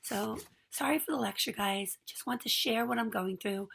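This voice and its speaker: A hoarse woman in her forties talks earnestly to her viewers. Her voice is deeply gravelly and raspy. She speaks from the heart, pausing often with ums. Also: fairly bright tone. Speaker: small business owner. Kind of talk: personal video message.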